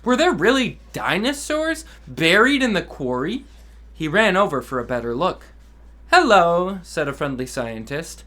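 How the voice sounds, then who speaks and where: Upbeat dad storyteller for kids' read-alongs, expressive and lively in pitch. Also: male voice, moderate pace. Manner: enthusiastic, expressive reading with asides to kids. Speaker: dad reading children's books aloud. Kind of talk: children's read-aloud storytelling.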